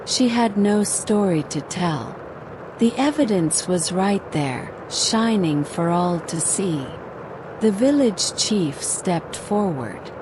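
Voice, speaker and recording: A woman storyteller narrating a scripted folktale in a measured, dramatic way. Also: mid-range voice, animated, noisy background.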